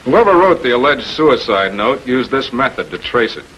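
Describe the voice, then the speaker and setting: Shrewd American prosecutor, deliberate, authoritative, mid-pitched, 1940s TV crime drama, noisy film sound. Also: male voice, very animated, a bit bright. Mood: measured, in control. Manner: deliberate, authoritative, methodical questioning. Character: shrewd, composed male public prosecutor confronting murder suspects.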